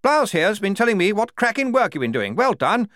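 A man voicing a blustering, hearty young army major for an audiobook, booming and jovial, tacking "eh?" onto his lines. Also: big pitch swings, a little fast, a bit bright, dry recording, studio quality. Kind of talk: audiobook character voice.

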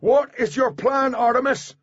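A man's voice: a little high, lively intonation, moderate pace, breathy edge, dull audio, voice pushed up. A man voicing a massive, loyal, protective bodyguard in an audiobook, speaking in terse, urgent phrases. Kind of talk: audiobook character voice.

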